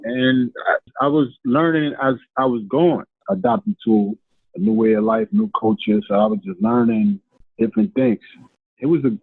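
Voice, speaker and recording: Gravelly man. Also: on the high side, lively intonation, normal speed, no room sound. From Honduras. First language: Spanish. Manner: earnest, repeats words when starting. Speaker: guest, hip-hop artist. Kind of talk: casual interview answers. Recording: tinny phone-like line, dry.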